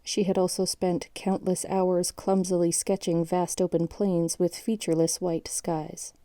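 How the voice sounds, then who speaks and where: Audio drama narrator actress: female, very dark lowish tone, dry sound, measured and factual. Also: level tone, no echo. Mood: detached, sober. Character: detached female narrator reading grim case histories. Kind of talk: scripted documentary-like narration.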